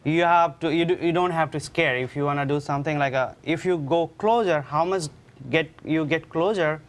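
A man's voice: on the high side, a little fast. A man in his thirties, a chef, walking through a recipe in non-native English with broken grammar, recorded on a studio kitchen set with a lapel mic. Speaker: restaurant chef. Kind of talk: cooking demonstration on a TV show.

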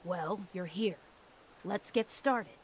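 An actress voicing a brisk, businesslike young scavenger woman in a video game, matter-of-fact and streetwise. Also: mid-range voice, slight background noise.

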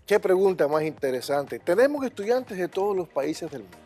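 An older man with a slightly raised pitch and a husky edge, talking in a confident, instructive way. He is being interviewed on a television studio set through a lapel mic, and faint music plays underneath. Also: big pitch swings, brisk, mellow. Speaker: language school director.

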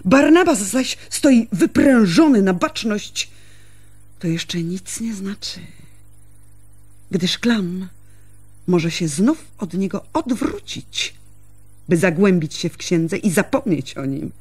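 An actress playing an earnest, brooding village woman, telling things in a husky voice with dramatic, deliberate phrasing in a radio play. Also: medium pitch, animated, darkish tone. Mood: intense recounting.

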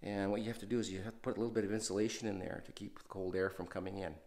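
A man with a fairly low voice gives a casual, unhurried tour of a house being renovated. He rambles, restarting sentences and filling pauses with "uh." He sounds like he's recorded on the camera's own mic inside the empty house. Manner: relaxed, rambling, many fillers and restarts. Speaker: renovation video host. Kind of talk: casual walkthrough narration.

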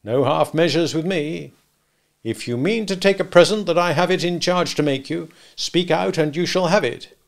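A man's voice: on the high side, normal speed, gravelly, voice pushed up. A man reading the part of a stern, domineering lawyer, speaking in a clipped, authoritative way. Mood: commanding. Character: stern, domineering male lawyer, brisk and commanding.

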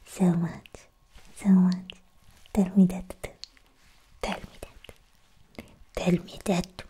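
A young woman playing a lovestruck, possessive alien girlfriend in a slow, cooing ASMR roleplay, mostly in breathy whispers close to the microphone. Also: on the low side, little pitch movement, warm tone, exaggerated voice. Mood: adoring, cooing. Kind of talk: soft-spoken ASMR roleplay.

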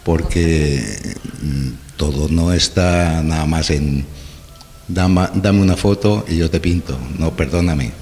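A middle-aged man, a portrait painter, speaking in a measured, reflective way about his craft into a handheld mic in a TV studio. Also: rumbling low, a little mellow, faint music behind. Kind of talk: explaining his craft in an interview. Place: large TV studio set. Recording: long hall reverb.

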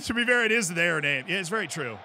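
An American man chatting on a live stream as he plays, with a fairly high voice that has a bright, sharp edge. He explains in long, animated tangents and keeps tossing in "right?" to the chat. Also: fairly quick, slight background noise. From United States. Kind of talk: casual chat while playing.

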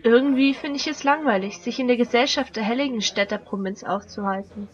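Woman acting confident young society girl in a radio play, slightly high voice, headstrong. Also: fairly quick, highs cut off, natural voice. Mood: bored, mocking. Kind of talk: German amateur audio drama dialogue.

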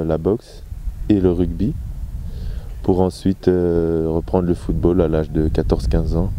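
A man's voice: low voice, mellow, slight background noise. A man, giving casual, hesitant interview answers on a handheld mic outdoors. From Belgium. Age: 20s. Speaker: footballer being interviewed. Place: open-air football pitch. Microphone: handheld microphone.

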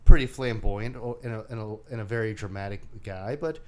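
A man co-hosting a casual movie discussion, recapping a film in a halting, wry way. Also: on the low side. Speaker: co-host of a movie discussion show.

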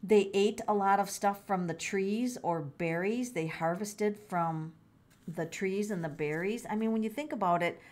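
A woman's voice: medium pitch, darkish tone. A middle-aged woman doing a casual tarot reading, musing aloud, exclaiming and repeating her phrases. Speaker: tarot reader.

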